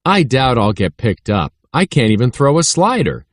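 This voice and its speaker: Eager schoolboy best friend, read by a man, chatty and playful, very animated storybook delivery. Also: mid-range voice, moderate pace, dry recording, studio quality, lightly acted. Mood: excited.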